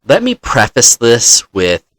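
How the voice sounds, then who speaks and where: A man in the role of dungeon master, casually telling a story from his game session in a halting, deliberate manner. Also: mid-range voice, huge pitch swings, a bit bright, no echo.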